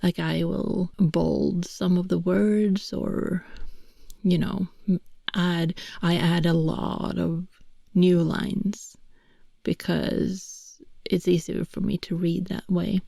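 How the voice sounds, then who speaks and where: Whispering Swedish woman, soft and slow. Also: lowish voice, level tone, vocal fry, dry recording, studio quality. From Sweden. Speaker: voice actor. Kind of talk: whispered ASMR chat.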